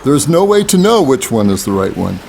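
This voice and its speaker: A man's voice: mid-range voice, sing-song, brisk, vocal fry, darkish tone, slight background noise. An actor playing a cryptic, impatient boatman in an audio drama, blunt and goading as he gives riddling counsel. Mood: insistent.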